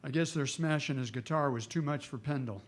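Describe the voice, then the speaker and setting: A man with an American accent playing a steady, terse Old West marshal in a radio western. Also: mid-range voice, a little fast, close to an everyday voice. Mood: probing.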